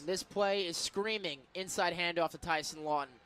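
American guy doing radio football play-by-play, really high voice, excitable, kinda sharp and forceful. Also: moderate pace. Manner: excitable, builds to emphatic calls on big plays.

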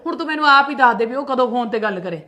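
A woman's voice: on the high side, animated, sharp tone. A middle-aged woman, acting a defensive mother who argues back and scolds her sister-in-law in a family skit. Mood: reasoning. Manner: argumentative, quick retorts, scolding.

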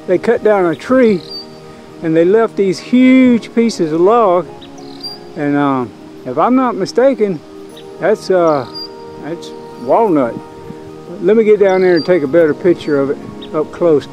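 Slow folksy older cyclist outdoors. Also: male voice, sits high, animated, darkish tone, faint music behind. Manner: folksy, rambling, thinking aloud. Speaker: vlogger. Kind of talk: casual vlog narration. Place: outdoors on rural roadside. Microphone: camera held by cyclist.

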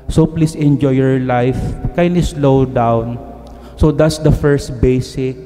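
A man's voice: fairly high pitch, dark tone. A middle-aged Filipino man, a priest giving an earnest, emphatic homily at a stand mic in a large church, switching between languages. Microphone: stand mic at pulpit. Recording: reverberant church hall.

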